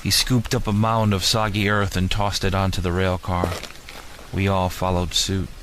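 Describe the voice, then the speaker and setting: Low, even-toned somber male storyteller. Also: normal speed. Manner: somber, melodramatic. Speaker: audiobook narrator. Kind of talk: scripted first-person dramatic story narration. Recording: amateur home recording, faint noise.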